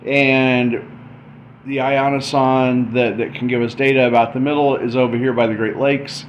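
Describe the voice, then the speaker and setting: Man, casual tutorial walkthrough, medium pitch, thinking out loud, dull mic, light background noise. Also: level tone, moderate pace. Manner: informal, thinking aloud. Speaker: explainer presenting a math and radio demo.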